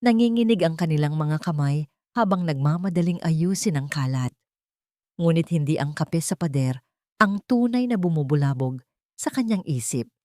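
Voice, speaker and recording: A woman's voice: deep voice, expressive, normal speed, warm tone, dry recording, studio quality. A woman with a Filipino accent reading a scripted, dramatic romance story as narrator.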